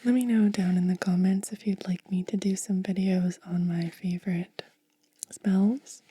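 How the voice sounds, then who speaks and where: A woman hosting ASMR, speaking softly in a breathy, hushed whisper and lingering over short phrases. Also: mid-range voice, fairly even intonation, unhurried, no echo. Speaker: ASMR video host. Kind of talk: soft-spoken ASMR.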